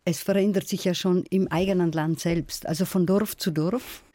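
A middle-aged woman from South Tyrol with a South Tyrolean accent, a guest in a radio interview, speaking in a thoughtful, measured, storytelling way. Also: on the low side, fairly even intonation, dark tone, no room sound, clean studio sound. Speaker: guest, folklorist.

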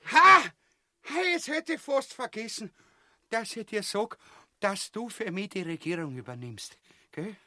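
A man's voice: high voice, animated, unhurried, bright, forceful tone, dry recording, studio quality, heavily acted. A man playing a boorish, bloodthirsty tyrant with an Austrian accent in a grotesque comic radio play, blustering and crude. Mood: bossy, sly.

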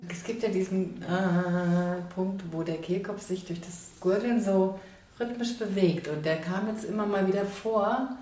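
A woman voice therapist guiding a session with gentle, encouraging questions in a therapy room. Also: mid-range voice, measured pace, slight background noise. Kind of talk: guiding a voice exercise. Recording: roomy, distant field recording.

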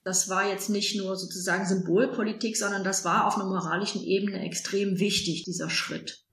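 A woman historian explaining in a deliberate, analytical way during an interview. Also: medium pitch, steady tone, fairly bright tone, reverberant. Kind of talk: expert interview.

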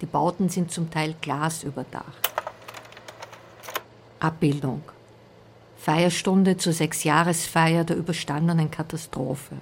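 A woman's voice: low-pitched, steady tone, slow pace, gravelly, pauses often, background noise. A woman reading factual captions as scripted narration.

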